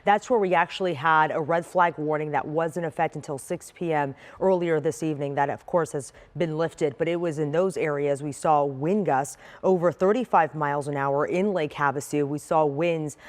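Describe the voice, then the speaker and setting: Lowish-pitched American woman forecasting weather. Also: steady tone, normal speed, hardly any pauses. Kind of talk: ad-libbed weather forecast presentation.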